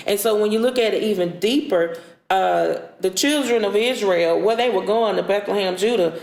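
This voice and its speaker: A woman's voice: mid-range voice, normal speed, vocal fry, a little mellow. A woman hosting a church panel discussion, talking through Bible topics in an explanatory, conversational way. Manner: addresses viewers and co-panelists, explanatory. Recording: echoey room.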